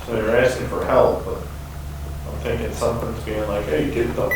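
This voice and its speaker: A man's voice: fairly low pitch, steady tone, moderate pace, recorded from a distance. A young man investigating a barn at night, talking casually and speculating aloud with loose fillers. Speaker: paranormal investigator. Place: dark old barn at night. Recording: loud noisy echoey barn from off-mic.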